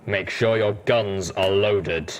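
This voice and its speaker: Guy doing a stern armored soldier pony from a story, low and super slow. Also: slight background noise.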